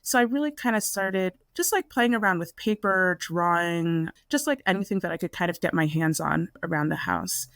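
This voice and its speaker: A woman's voice: on the low side, moderate pace, gravelly, hardly any pauses, a bit bright, no room sound. Chatty woman guest, dry sound. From Florida. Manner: chatty, many "like" fillers. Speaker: artist guest. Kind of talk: casual interview conversation.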